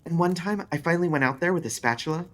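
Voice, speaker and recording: A man's voice: fairly high pitch, quick pace, fairly bright tone, voice pushed up, natural voice. A man voicing a nervous widowed mother, confiding and insistent, in a horror story. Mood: confiding, uneasy. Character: nervous widowed mother sharing a spooky memory. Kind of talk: audiobook-style character voice in a horror story.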